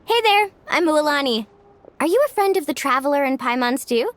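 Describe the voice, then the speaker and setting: A woman playing a perky, competitive young girl athlete in an anime game, eager and expressive. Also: sits high, brisk, slight background noise. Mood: curious. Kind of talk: anime game character acting.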